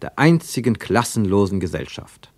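A man's voice: fairly low pitch, very animated, moderate pace, a little mellow, no echo. An actor narrating a radio play, voicing the bitter inner thoughts of a brooding man.

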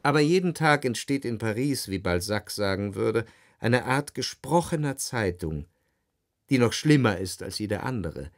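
An actor playing a reflective, well-off man of letters, narrating a literary radio play in a measured, analytical way. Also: lowish voice, expressive, no echo, clean studio sound. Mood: wry, detached. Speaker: first-person narrator.

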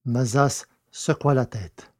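A man reading a science fiction story aloud in a steady, measured narration. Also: mid-range voice. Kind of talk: scripted audiobook narration.

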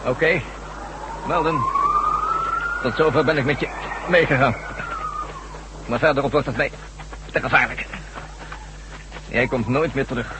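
Resigned henchman for sci-fi radio play male actor: mid-pitched, matter-of-fact, regretful, noisy old recording. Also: unhurried, long pauses, natural voice. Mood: grim, regretful. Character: resigned male henchman escorting a doomed prisoner. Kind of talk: Dutch science fiction radio drama acting.

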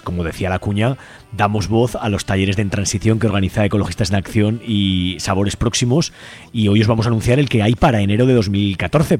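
A man's voice: lowish voice, darkish tone. A man with a Spain Spanish accent hosts an informal community radio show, talking conversationally and checking in with listeners. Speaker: radio host.

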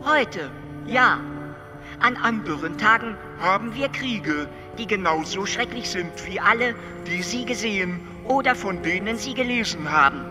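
High-pitched, detached alien over music. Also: male voice, unhurried. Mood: calm, matter-of-fact. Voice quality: stuttering, glitchy repeated syllables. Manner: calm, explanatory, detached. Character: detached, matter-of-fact stammering alien being. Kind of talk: radio drama acting. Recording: music under the voice.

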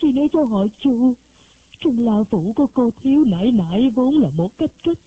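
A woman's voice: fairly high pitch, lively intonation, moderate pace, breathy edge, no echo, voice pushed up. A woman voicing a sick, anxious elderly grandmother who gives insistent, urgent instructions in a storybook reading. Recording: tinny phone-like recording.

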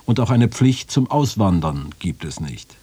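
A man's voice: fairly low pitch, sing-song, a little slow, warm tone, husky, no room sound. A man reading quotations in a scripted radio essay. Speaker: reader of quotations.

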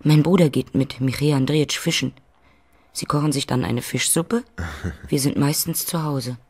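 A woman's voice: extremely low pitch, moderate pace, darkish tone, breathy edge, heavily acted. An actress playing a shy, simple widowed landlady of about thirty in a radio play. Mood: shy, plain.

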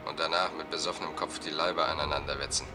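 A man's voice: rumbling low, steady tone, fast, over music. An actor playing a coarse, blunt male crook in a German radio crime drama. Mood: dry, crude. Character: low-voiced, crude male crook in a gang.

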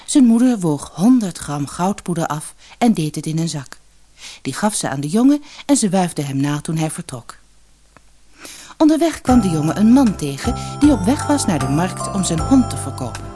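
A woman's voice: fairly low pitch, very animated, normal speed, dark tone, faint music behind. A woman narrating a scripted fairy tale as an audiobook storyteller.

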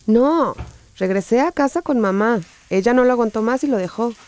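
An actress playing a gentle, resilient female survivor, speaking earnestly and confidingly in a radio drama. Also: a little high, lively intonation, normal speed, close to an everyday voice. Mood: somber.

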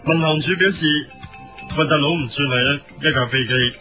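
A man's voice: fairly high pitch, normal speed, lightly acted. A man playing a solemn news commentator who reads absurd editorials with formal gravity in an audio comedy. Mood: mock-serious. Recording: muffled lo-fi audio with music behind.

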